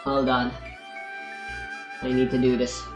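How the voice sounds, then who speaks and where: A man's voice: medium pitch, completely flat delivery, slow. A man muttering offhand asides to himself while playing a game. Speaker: gamer. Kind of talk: gameplay commentary. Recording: roomy sound over game music.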